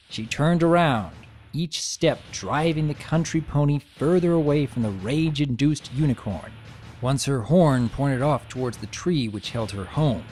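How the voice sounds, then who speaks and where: A man narrating fan fiction in a theatrical, scripted dramatic reading style. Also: mid-range voice. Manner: expressive, theatrical.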